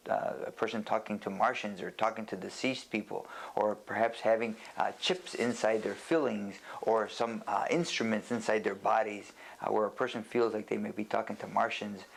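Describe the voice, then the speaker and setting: A male doctor with a fairly low voice and a clearly gravelly, fry-laden edge. He explains in plain words as in an informal lecture. His delivery is deliberate and halting, built point by point. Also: fairly even intonation. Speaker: doctor giving educational explanation.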